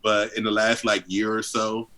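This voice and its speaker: Deep-voiced young man from D.C. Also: little pitch movement, normal speed, sharp tone. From Southeast Washington, D.C. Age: 20s. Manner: laid-back, heavy on fillers, chuckles often. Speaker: guest rapper. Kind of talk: casual interview chat.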